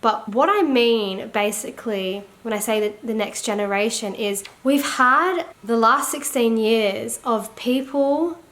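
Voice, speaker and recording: Brief: Australian woman vlogger, slightly congested and croaky, a touch high-pitched, rambling casual chat. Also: unhurried, hardly any pauses, fairly bright tone. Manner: rambling, filler-heavy, laughs often. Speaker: teacher and online resource seller. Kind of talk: casual chatty vlog.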